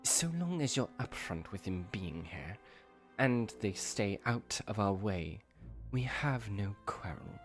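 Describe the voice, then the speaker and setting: A man playing a restrained, diplomatic young male dragon prince, speaking in a measured, formal way in a fantasy audio drama. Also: fairly low pitch, expressive, faint music behind. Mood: firm, diplomatic.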